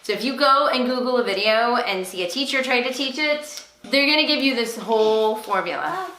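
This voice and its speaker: A woman's voice: a little high, animated, moderate pace, sharp tone, slight background noise, rough recording. A woman, a homeschooling mother, talking in an explanatory, encouraging way as she gives teaching tips in a vlog. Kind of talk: instructional vlog talk with read-aloud asides to a child.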